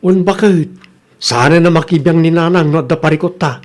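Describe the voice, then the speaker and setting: Radio drama husband: male actor, higher-set voice, Ilocano accent, beleaguered and protesting. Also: a little fast, natural voice. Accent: Ilocano Filipino.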